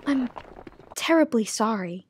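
A woman's voice: on the high side, expressive, a little slow, a little mellow, slight background noise. A woman voicing an earnest, polite young boy in a storybook reading, in her own plain voice.

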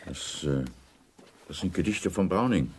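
An actor playing a troubled, reserved intelligence officer in a radio drama, restrained and halting. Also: male voice, low-pitched, lively intonation, a little hiss or hum. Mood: quietly pained.

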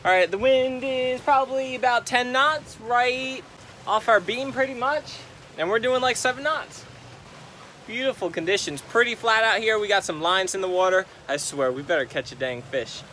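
A young man on a sailboat, talking in a relaxed, rambling way to a phone camera at arm's length. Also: extremely high pitch, normal speed. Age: young adult. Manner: laid-back, rambling, sprinkled with "like". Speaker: sailing vlogger. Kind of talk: casual vlog narration. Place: outdoors on a sailboat deck at sea. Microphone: phone selfie at arm's length. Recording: windy outdoor boat noise. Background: wind and boat noise.

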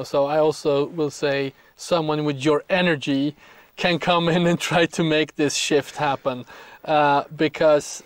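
Halting male interviewer, higher-pitched voice. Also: dry recording. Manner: hesitant, halting, repeats words while forming questions. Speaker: podcast host, interviewer. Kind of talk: conversational interviewing.

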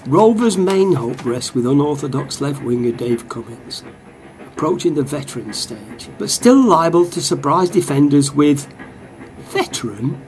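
Actor as grumbling bachelor, train rumble. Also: male voice, very animated, measured pace, a little mellow, rough recording. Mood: indignant. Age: 40s. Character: grumbling lonely middle-aged bachelor football fan. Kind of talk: radio play monologue, muttering over a newspaper. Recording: train carriage rumble behind.

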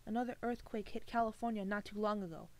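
A woman's voice: medium pitch, steady tone, fast, close to an everyday voice. A woman playing a serious local radio newsreader, delivering a breaking news report.

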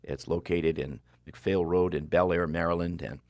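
Older guy hosting radio, really deep voice, dry headset mic, steady announcer pace. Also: dry recording. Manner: warm, measured announcer delivery with slow-read phone numbers. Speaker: radio show host. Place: small broadcast studio.

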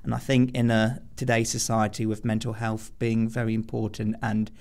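A man's voice: fairly low pitch, completely flat delivery, moderate pace, vocal fry, darkish tone. A male student giving earnest, considered answers as an interview guest.

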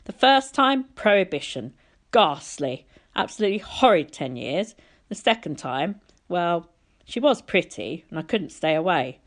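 A woman's voice: on the low side, big pitch swings, a little slow. A woman voicing a petulant, pompous, middle-aged male wine god in a storybook reading, whiny and theatrical. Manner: pouting, theatrical, sardonic.

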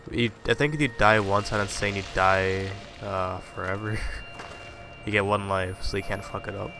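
A man's voice: lowish voice, steady tone, normal speed, vocal fry, over music. A man playing a horror game, swearing and muttering casual asides, still slightly congested from a cold. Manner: profane, jumpy, muttering asides. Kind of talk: casual commentary.

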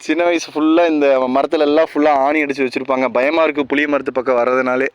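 A man's voice: a little high, flat delivery, fast talker, no echo. A man with a Tamil Nadu accent, talking casually to viewers and explaining things step by step in a vlog. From rural Tamil Nadu, India. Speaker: vlogger. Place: outdoors by a tree-lined roadside. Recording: clear outdoor roadside and vehicle noise.

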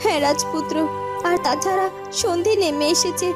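A woman's voice: extremely high pitch, moderate pace, loud music behind the voice. A woman voicing a sulky, stubborn young royal girl in a fairy-tale cartoon.

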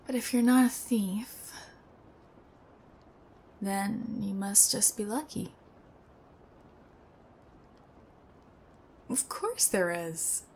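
A woman's voice: mid-range voice, drawn-out words, pauses often, a little mellow, slight background noise. A young woman playing a nervous, chatty, strange young female thief in an intimate ASMR roleplay, with a hesitant, rambling, awkward delivery. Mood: wry, soft.

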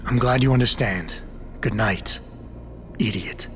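An actor playing a corporate security chief in a video game, curt, dry and guarded. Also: male voice, mid-range voice, a little slow, faint music behind, natural voice. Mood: curt, businesslike.

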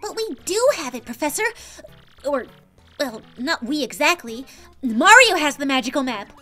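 A woman's voice: high-pitched, sing-song, moderate pace, sharp tone, faint music behind, exaggerated voice. A woman voicing a perky, brainy, sassy young female game creature, with emphatic valley-girl phrasing. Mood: eager.

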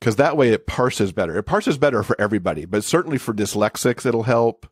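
A man's voice: mid-range voice, animated, brisk, husky, no room sound, studio quality. A man speaking as an expert podcast guest, explaining things in a casual, conversational way.

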